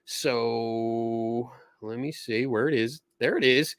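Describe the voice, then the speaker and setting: A man in the middle of a relaxed live stream, talking in a rambling way with lots of "um" and restarts. His voice is wearing thin and hoarse. The sound is dry and close, with no room around it. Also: medium pitch, slow pace, dry recording. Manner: rambling, many fillers and restarts, mocking quoted voices. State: losing his voice, clearing throat. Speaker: livestream host answering viewer questions. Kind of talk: casual live-stream chat.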